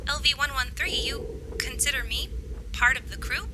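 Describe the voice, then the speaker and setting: A high, small robot voice, played by a woman in a sci-fi game, speaking in stilted, literal, formal phrases. She is timid and earnest. There is clear background noise. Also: normal speed, close to an everyday voice. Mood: touched. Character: small anxious literal-minded robot, female.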